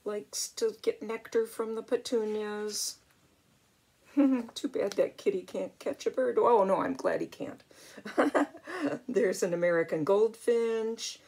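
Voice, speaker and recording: An older woman, a grandmother, reading a picture book aloud in an expressive way and chatting to a young child with little exclamations. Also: mid-range voice, breathy edge. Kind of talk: storybook read-aloud with chatty asides to a child.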